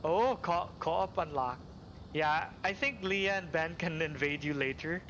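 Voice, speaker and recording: A man's voice: fairly high pitch, animated, a little slow, lo-fi sound, background noise. A man hosting a game livestream, thinking aloud hesitantly in casual live commentary.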